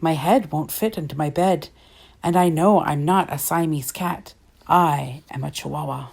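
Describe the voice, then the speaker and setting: A woman voicing an excitable, boastful boy kitten in a children's storybook, with a sing-song mock Spanish accent. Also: sits low, measured pace, gravelly, husky, heavily acted. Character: excitable boy Siamese kitten pretending to be Chihuahua.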